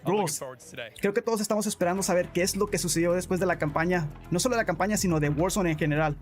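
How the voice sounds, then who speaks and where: A man's voice: high voice, fairly even intonation, fast talker, soft background music. A man streaming live, answering chat in a casual way, calling viewers bro and often restarting his sentences. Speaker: gaming streamer.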